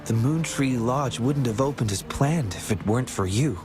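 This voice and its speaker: A man playing a gentle, modest young scientist in a video game, speaking in a polite, measured, reassuring way. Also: mid-range voice, expressive, over music, lightly acted. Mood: polite, warm. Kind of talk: video game character acting.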